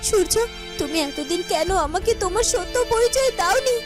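A woman's voice: extremely high pitch, expressive, a little fast. A woman playing a devoted, emotional young heroine in a melodramatic fairytale cartoon, earnest and full of feeling. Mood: pleading. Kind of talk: melodramatic Bengali cartoon voice acting. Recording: music behind the voice.

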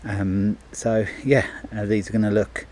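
A man's voice: on the low side, little pitch movement, unhurried, darkish tone, a little hiss or hum. A middle-aged man, a handyman, chatting casually with lots of ums as he shows finished work outdoors on a body-worn camera. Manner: chatty, many ums and restarts. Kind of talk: casual on-the-job narration. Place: outdoors at a driveway gate. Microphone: body-worn action camera.

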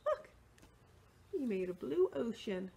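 Female storyteller, middle-aged, for children's read-aloud. Highish, swooping pitch, expressive delivery, deliberate phrasing. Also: gravelly, a little hiss or hum. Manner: expressive, slow and deliberate, with short asides to the listeners. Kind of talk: picture-book read-aloud for young children. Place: indoors, small room with bookshelves.

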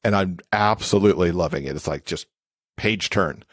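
A man with a fairly low voice talks casually as a podcast guest. His delivery is enthusiastic, and the recording is close and dry. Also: normal speed, no room sound. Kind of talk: casual chat.

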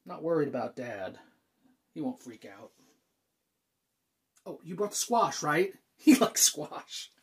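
Man acting anxious, halting thirty-year-old, widely swinging pitch. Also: big pitch swings, husky, exaggerated voice. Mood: nervously joking. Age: 30s. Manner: halting, nervous, sighs and stammers. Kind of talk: dramatic monologue, self-taped acting.

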